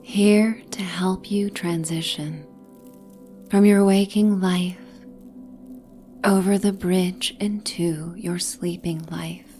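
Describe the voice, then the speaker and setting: A woman with a soft, breathy, near-whispered voice gently narrating a soothing guided sleep meditation and bedtime story. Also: on the low side, fairly even intonation, faint music behind. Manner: gentle, soothing, hypnotic, with long pauses.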